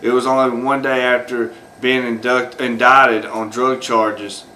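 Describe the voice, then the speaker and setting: A man with a bright, forward voice and a lilt talks unscripted about his subject, pausing on fillers and restarting his sentences. A faint ambient hiss sits under the voice. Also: mid-range voice, flat delivery, rough recording. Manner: rambling, many fillers and restarts. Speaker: video host. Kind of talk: unscripted solo commentary.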